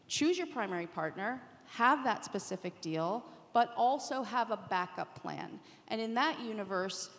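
A woman on a panel, speaking assuredly in deliberate, emphatic phrases. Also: a little high. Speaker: panelist from the podcast industry. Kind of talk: unscripted pitch-like panel talk. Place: indoor conference panel hall. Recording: reverberant hall.

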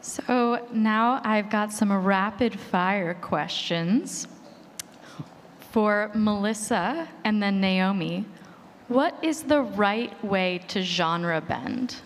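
A woman's voice: medium pitch, drawn-out words. A woman moderating a live panel, putting questions to the guests in turn. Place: large room at a live conference panel. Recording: reverberant hall, faint room noise.

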